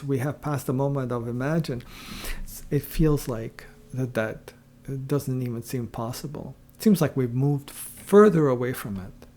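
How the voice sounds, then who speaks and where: Male online creator, mid-pitched, gravelly voice; reflective solo talk, hesitant and searching delivery. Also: a little mellow. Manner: halting, searching, with frequent pauses and throat-clearing. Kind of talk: unscripted reflective philosophical monologue.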